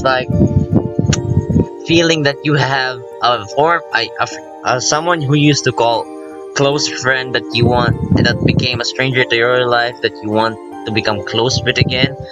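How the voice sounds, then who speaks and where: A man giving casual, rambling let's play commentary, excitable and informal, breaking off his own sentences and reading visual novel text aloud. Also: medium pitch, muffled recording. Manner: informal, self-interrupting, excitable. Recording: music playing behind the voice. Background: visual novel game music.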